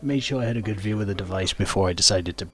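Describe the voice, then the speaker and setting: A man giving casual, plain-spoken game commentary, explaining his moves in an unhurried way. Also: low voice, a bit bright, faint music behind. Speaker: gaming video host. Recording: faint background noise.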